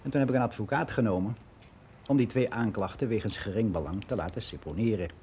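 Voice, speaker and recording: Male actor as older man giving frank, matter-of-fact radio play monologue; soft dark tone, slightly noisy recording. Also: fairly low pitch, fast talker, natural voice. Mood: matter-of-fact, reminiscing. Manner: matter-of-fact, rambling, candid.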